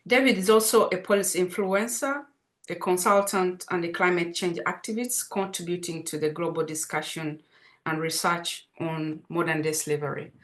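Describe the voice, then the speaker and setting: Woman, Ugandan Dutch accent, lowish voice, hesitant moderator, video call. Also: fairly even intonation, normal speed. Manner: hesitant, many fillers and restarts. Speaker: webinar moderator. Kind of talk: hosting an online panel.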